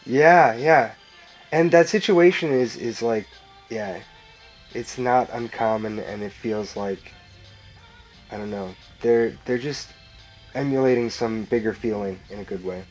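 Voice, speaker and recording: A man's voice: mid-range voice, a little slow, faint music behind, poor audio. A man, a filmmaker, chatting enthusiastically through a commentary track and filling his talk with "like" and laughs. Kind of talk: director's commentary chat.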